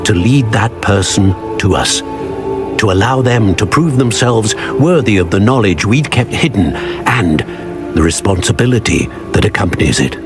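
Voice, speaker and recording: Grave, low-voiced older wizard professor, played by a man. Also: animated, music in the background, natural voice. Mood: solemn, grave. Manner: solemn, measured, formal. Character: grave older male wizard professor, solemn secret keeper. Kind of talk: dramatic video game acting.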